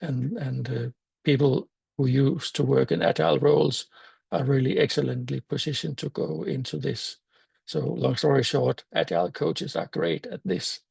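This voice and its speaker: An older man with a Danish accent, a native Danish speaker, giving a conference talk in a thoughtful, halting way with many fillers and restarts. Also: mid-range voice, gravelly, darkish tone, breathy edge, no echo. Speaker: meetup speaker.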